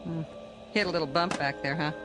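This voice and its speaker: A woman's voice: deep voice, fairly even intonation, brisk, natural voice, poor audio. Woman acting chatty young stewardess, breezy n teasing, radio play, music behind. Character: chatty, unflappable young stewardess gossiping with the crew.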